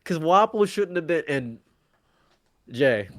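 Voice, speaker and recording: A man co-hosting a casual review chat, excitable and rambling, laughing often. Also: fairly high pitch, slow pace. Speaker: co-host.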